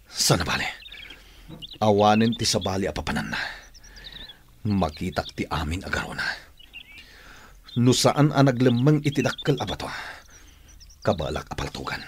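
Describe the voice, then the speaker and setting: A man's voice: mid-range voice, a little hiss or hum, full character voice. Menacing Filipino gunman, wildly swinging delivery. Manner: theatrical, taunting, swinging from mutter to shout. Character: menacing armed male fugitive hunting his rival. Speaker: radio drama actor. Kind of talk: Ilocano radio drama acting.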